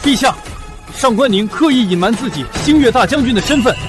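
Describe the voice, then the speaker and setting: A man's voice: very high-pitched, expressive, a little fast, soft background music. An actor playing an indignant, self-righteous nobleman officer and scheming husband in a period short drama. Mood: pleading, accusing.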